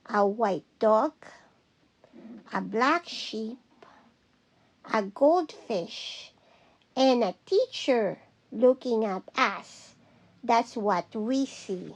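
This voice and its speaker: Female teacher for picture-book read-aloud: high voice, very slow delivery, singsong repeated phrasing. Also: rough recording. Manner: singsong, deliberate repetitive phrasing.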